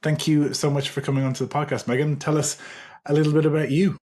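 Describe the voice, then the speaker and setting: A man's voice: a little high, level tone, quick pace, a little mellow. A man hosting a podcast, reading a scripted intro and then adding short replies. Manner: scripted intro, brief backchannels. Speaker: podcast host.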